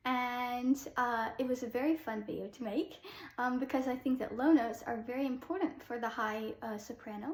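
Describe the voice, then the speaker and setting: A young adult woman, a classical soprano, giving a relaxed vocal lesson in a chatty way with many ums. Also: sits high, echoey room.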